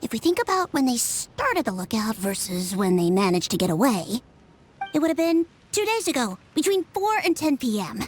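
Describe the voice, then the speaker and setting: A woman's voice: fairly high pitch, big pitch swings, normal speed, slight background noise. A woman playing a brainy nonhuman young female sleuth in a video game, earnest and methodical as she explains things precisely. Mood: analytical deduction.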